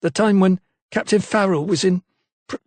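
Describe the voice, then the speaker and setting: A man's voice: high-pitched, moderate pace, no echo, voice pushed up, natural voice. A timid young servant girl, voiced by a man, speaking in a raised, lilting voice with rustic, uneducated phrasing. She sounds hesitant and deferential. The recording is close and dry. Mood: timid, earnest. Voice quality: rustic nonstandard speech. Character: timid young female maid, working-class.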